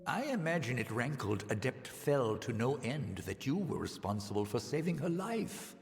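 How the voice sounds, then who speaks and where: Haughty senior mage, pompous, condescending, animated intonation, fantasy game dialogue, long hall reverb. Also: male voice, medium pitch, normal speed, creaky, soft background music. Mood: haughty, dry. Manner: pompous, condescending, dry, precise wording. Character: haughty male senior scholar of an arcane order.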